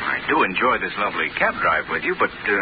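Polished, lively young gentleman. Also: sits low, quick pace. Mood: wry impatience. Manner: polished, wryly impatient. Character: wealthy, urbane young man about town. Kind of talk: 1940s radio acting. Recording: muffled old radio, loud noise.

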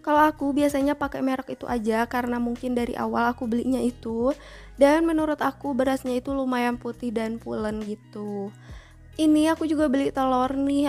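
A woman's voice: fairly high pitch, steady tone, a little fast, a little mellow, faint music behind. A young woman vlogger chatting through a grocery shopping trip, often asking viewers to comment below.

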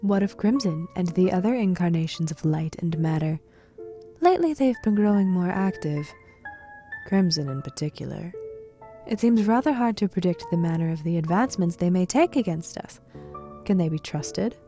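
A composed broadcast host, played by a woman, speaks in a slightly low voice, formal and careful. Faint music sits behind her polite delivery in this dystopian audio drama. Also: expressive, moderate pace, mellow. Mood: inquiring. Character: composed dutiful daughter hosting a dystopian broadcast.

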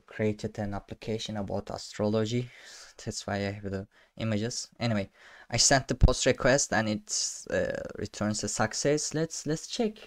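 Slow, halting man teaching code. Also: fairly low pitch. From Turkey. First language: Turkish. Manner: halting, many fillers and restarts, self-amused. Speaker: programming tutor. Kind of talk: casual screen-share coding tutorial. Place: small room indoors.

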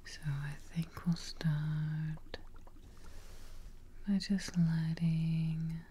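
A young woman playing a gentle, reassuring witch in an ASMR role play, speaking softly and breathily, close to the microphone. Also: low-pitched, little pitch movement, a little hiss or hum. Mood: soothing, calm. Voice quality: soft, breathy, whispery. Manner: slow, reassuring, unhurried. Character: gentle, soothing witch tending a patient.

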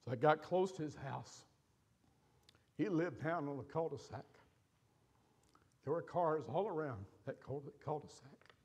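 An older man preaching from a church stage, telling a personal story with pauses and feeling. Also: a little high, darkish tone, breathy edge. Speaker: pastor. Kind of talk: sermon storytelling.

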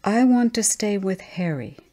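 A woman's voice: medium pitch, expressive, a little slow, warm tone, pitched above the performer's own voice. A woman voicing a small, stubborn, innocent girl in a story, with an insistent childlike manner.

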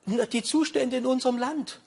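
A man's voice: extremely high pitch, normal speed, creaky, darkish tone, husky. A middle-aged man, a talk show guest, speaking in an agitated, emphatic way. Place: TV studio with audience.

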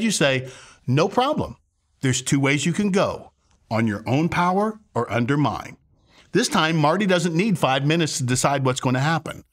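A man's voice: on the high side, fairly bright tone. A man in his sixties, a pastor giving a conversational teaching to camera, emphatic and fond of rhetorical questions. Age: 60s. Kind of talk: conversational sermon to camera with acted-out examples. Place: furnished living room, indoors.

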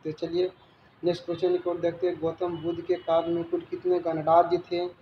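A man with a rather high voice reads out quiz questions and their answers as a teacher. He goes haltingly, stumbling and repeating words. The sound is roomy and echoing, with faint noise behind him. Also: completely flat delivery. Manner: halting read-aloud with stumbles and repetitions. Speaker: teacher explaining exam questions. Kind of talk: reading quiz questions and answers aloud as a lesson. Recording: dull, roomy recording with background noise.

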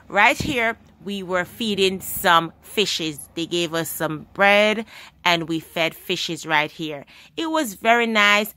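A woman's voice: mid-range voice, bright, forceful tone, no echo. A woman narrating her travel vlog, talking straight to her viewers with enthusiasm. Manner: enthusiastic, addresses viewers directly. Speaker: travel vlogger. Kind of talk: travel vlog narration over phone clips.

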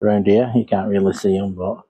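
A man's voice: lowish voice, fairly even intonation, fairly quick, mellow, husky, no echo, poor audio. A man, walking through woodland and retracing his steps aloud in a casual vlog. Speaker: paranormal investigator. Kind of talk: casual walk-and-talk vlog. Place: woodland outdoors. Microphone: clip-on lapel mic.

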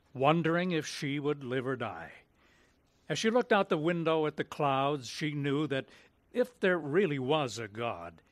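A man narrating a scripted radio drama in a measured, solemn, theatrical old-time announcer manner. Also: mid-range voice, no room sound.

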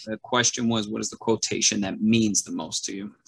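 A man's voice: on the low side, fairly even intonation, brisk, creaky, fairly bright tone, husky. A man moderating a library author event over a video call, politely reading out questions from the audience. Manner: polite, apologetic.

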